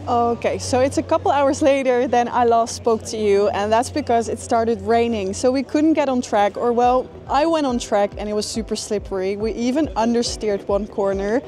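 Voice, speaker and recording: A woman's voice: on the high side, moderate pace, hardly any pauses, warm tone, soft background music. A young woman in her twenties talking casually and enthusiastically to the camera in a small bedroom. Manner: bubbly, enthusiastic. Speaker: vlogger. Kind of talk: casual vlog talk to camera.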